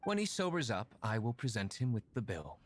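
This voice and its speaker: A man playing a stern young wine tycoon with deadpan, formal delivery in an anime game. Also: medium pitch, fairly quick, slight background noise, close to an everyday voice. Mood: dry, disapproving. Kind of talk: anime game dub acting.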